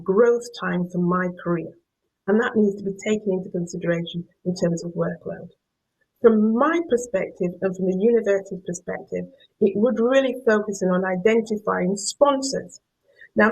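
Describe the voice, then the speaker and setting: A woman's voice: mid-range voice, husky, low-quality recording. A middle-aged woman from Yorkshire with a Yorkshire accent gives an academic lecture, often repeating words as she searches for the next phrase. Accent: Yorkshire English. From Yorkshire, England. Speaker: professor giving a guest lecture.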